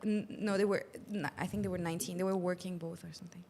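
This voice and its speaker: A woman's voice: fairly low pitch, steady tone, quick pace, warm tone, slight background noise. A woman from Luxembourg with a French accent, chatting casually as an interview guest on a video call, with filler words and restarts. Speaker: interview guest, company executive.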